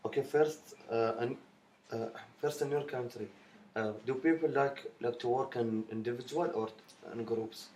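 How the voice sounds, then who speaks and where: A man's voice: medium pitch, fairly even intonation, normal speed, a little mellow, a little hiss or hum. Hesitant young man interviewing. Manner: hesitant, feeling for words. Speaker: student interviewer. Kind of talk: interview questions, halting delivery.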